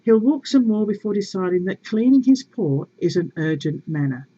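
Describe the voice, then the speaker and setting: A woman reading a story aloud in a measured, expressive and wry way, with casual asides to her viewers. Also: mid-range voice, husky, lo-fi sound.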